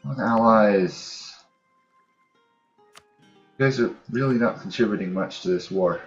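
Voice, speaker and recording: Male gamer, low-pitched, casual let's play narration, thinking out loud, light music underneath. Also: a little slow, highs cut off. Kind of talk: casual strategy game commentary, thinking aloud.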